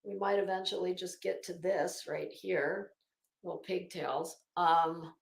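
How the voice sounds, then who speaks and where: A woman's voice: fairly low pitch, steady tone, a little slow, vocal fry, roomy sound. A woman hosting a casual livestream, thinking aloud in a rambling, hesitant, informal way and reading documents out. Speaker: livestream host. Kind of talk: casual live commentary mixed with reading legal documents aloud.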